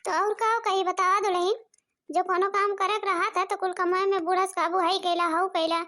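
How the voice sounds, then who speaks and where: A woman's voice: extremely high pitch, level tone, moderate pace, bright, forceful tone. A woman voicing a bossy, complaining elderly village mother-in-law in cartoon comedy, with an Awadhi accent. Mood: scolding. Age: older.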